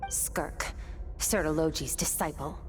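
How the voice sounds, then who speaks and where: A woman's voice: low voice, slow. A woman playing a cool, reserved swordswoman in a video game, speaking tersely and matter-of-factly. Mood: cool, curt. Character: cool, composed swordswoman disciple, curt and reserved. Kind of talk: dramatic video game voice acting. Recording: prominent music behind voice.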